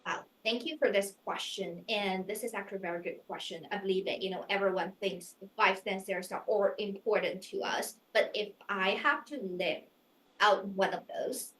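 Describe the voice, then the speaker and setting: A woman's voice: medium pitch, steady tone, normal speed, fairly bright tone, roomy sound, low-quality recording. A young woman from China, answering practice pageant interview questions in an earnest, searching way full of fillers. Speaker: pageant contestant.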